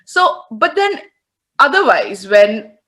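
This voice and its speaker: A woman's voice: fairly high pitch, very animated, takes it slowly, sharp tone. Hesitant, thoughtful woman on a call. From India. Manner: thoughtful, hesitant, many fillers and restarts. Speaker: panelist. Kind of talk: reflective panel talk on video call. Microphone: video call.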